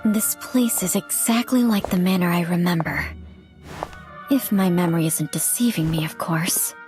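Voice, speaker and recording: An actress playing a poised, observant reporter and investigator in a video game, speaking in a measured, analytical, polite way. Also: female voice, fairly low pitch, over music, natural voice. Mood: reflective, analytical. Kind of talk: scripted game narration and dialogue.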